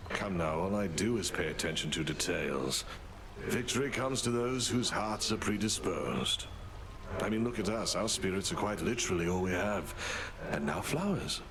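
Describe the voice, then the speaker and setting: A man voicing a genial, wry tactician spirit in a video game, speaking in a genteel, measured, philosophical way. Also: sits low, lively intonation, background noise.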